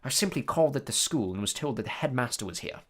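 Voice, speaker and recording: A man voicing a cold, smug aristocratic storybook villain with a smooth, lazy, condescending delivery. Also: medium pitch, animated, fast talker, fairly bright tone.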